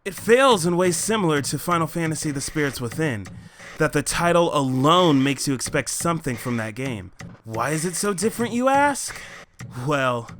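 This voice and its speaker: A man's voice: a little high, lively intonation, moderate pace, a bit bright, a little hiss or hum. A man co-hosting a movie countdown, delivering scripted comic narration in an emphatic, over-the-top style. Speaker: co-host of a movie countdown show.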